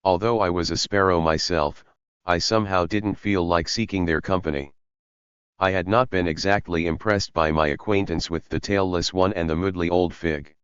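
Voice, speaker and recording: Deep-voiced male audiobook narrator. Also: steady tone, moderate pace, a bit bright, clean tone, no echo, highs cut off.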